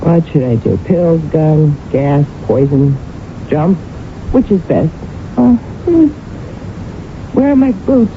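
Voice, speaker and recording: An actress playing a wry, playful, envious wife in an old-time radio drama, with a theatrical delivery. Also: female voice, low voice, lively intonation, slow pace, pauses often, full character voice. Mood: mock-dramatic. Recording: thin, phone-like old radio recording with hiss.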